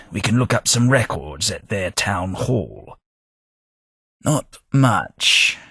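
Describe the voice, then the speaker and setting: An actor playing a formal, polite, long-lived London gentleman in a game, speaking with measured diction. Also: fairly low pitch, dry recording, studio quality, exaggerated voice. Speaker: game companion. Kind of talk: game voice acting.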